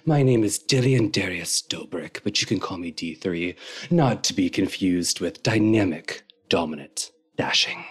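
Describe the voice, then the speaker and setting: A man's voice: low voice, animated, unhurried, husky, full character voice. A man voicing a self-important, flowery adventurer in a tabletop game. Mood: pompous. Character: grandiose, self-assured male adventurer. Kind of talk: in-character roleplay.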